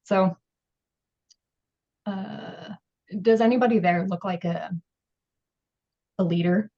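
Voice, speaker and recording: A woman takes part in a tabletop roleplaying game as a player. She speaks casually at a middle pitch, and the online-call audio is dull and muffled. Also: slow.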